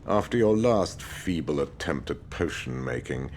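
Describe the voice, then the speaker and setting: An actor playing a menacing, contemptuous potions teacher in a video game, drawling in a deliberate, disdainful English voice. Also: male voice, rumbling low, expressive, slight background noise. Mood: cold contempt. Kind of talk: video game cutscene acting. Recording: loud music behind the voice.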